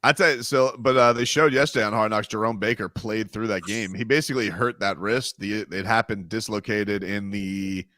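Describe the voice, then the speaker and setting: A man's voice: fairly low pitch, fairly even intonation, normal speed, hardly any pauses, bright, forceful tone, no room sound, studio quality. Rambling sports radio host man. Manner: rambling, filler-heavy, laughing. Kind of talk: casual sports talk. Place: radio studio. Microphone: studio mic.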